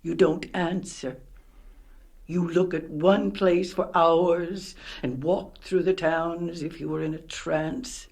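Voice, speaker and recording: A woman's voice: fairly low pitch, steady tone, slow, darkish tone. An actress playing a worn, tender, worried old village mother in a radio play, with a coaxing, motherly manner.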